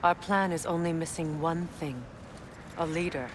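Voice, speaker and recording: An actress playing a proud warrior queen and goddess general, composed and measured. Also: sits low, natural voice. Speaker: video game character. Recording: game audio with steady background noise.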